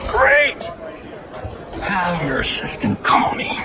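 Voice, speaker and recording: An actor playing a cocky, wisecracking action movie star in a cinematic video game, flippant and showy. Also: male voice, sits high, big pitch swings, takes it slowly, music in the background, crowd noise, exaggerated voice. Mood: excited, pleased.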